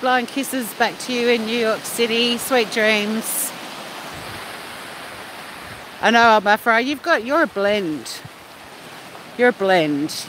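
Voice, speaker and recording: An Australian woman hosts a casual live chat outdoors by the beach. She talks at an unhurried pace in a middle-pitched voice, with a blunt, playful manner. A strong wash of water or wind noise sits behind her. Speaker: live stream host. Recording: loud steady wind or surf noise.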